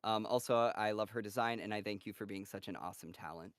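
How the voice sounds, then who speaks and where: A man's voice: lowish voice, dry recording, studio quality. A man hosting a live-stream Q&A, reading fan questions in an upbeat, casual way. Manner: upbeat, casual, quick to laugh. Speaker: host, moderator of the Q&A.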